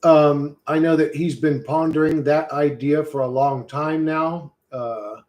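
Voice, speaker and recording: A man's voice: on the high side, fairly even intonation, a little slow, a little mellow. Loose, swearing male streamer. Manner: loose, profane, says "bro" a lot; rambling, reads and answers chat. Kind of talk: casual live-stream chat.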